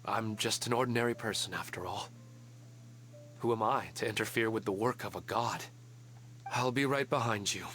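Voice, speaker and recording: An actor playing a gentle, resigned family man who speaks politely and quietly wistful. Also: lowish voice, moderate pace, pauses often, slight background noise, natural voice. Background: game music.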